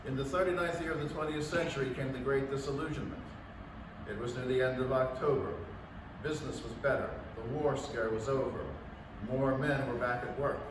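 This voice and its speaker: A man playing a solemn, eloquent narrator in a staged 1930s radio drama reading, speaking in a measured, formal way. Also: mid-range voice, fairly even intonation, natural voice. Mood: matter-of-fact. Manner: measured, formal, literary delivery. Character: solemn, eloquent male narrator of an alien invasion. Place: public library room, staged reading. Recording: distant, very echoey room with background noise.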